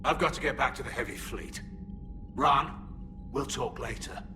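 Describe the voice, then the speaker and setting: An actor playing a hawkish alien fleet admiral in a video game, proud, clipped and self-justifying. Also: male voice, mid-range voice, moderate pace, over music, close to an everyday voice. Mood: defiant.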